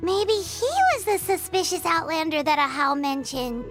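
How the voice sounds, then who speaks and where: A very high, childlike game voice, friendly and earnest. The young villager chats openly and tells things with sincere care, over soft music. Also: female voice, expressive, normal speed. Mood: thoughtful. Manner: chatty, earnest storytelling. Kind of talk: video game voice acting.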